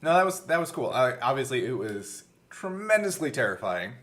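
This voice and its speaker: Man, average pitch, casual podcast co-host, explanatory, circling and self-correcting phrases. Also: very animated, fairly quick, fairly bright tone. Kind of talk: casual behind-the-scenes chat.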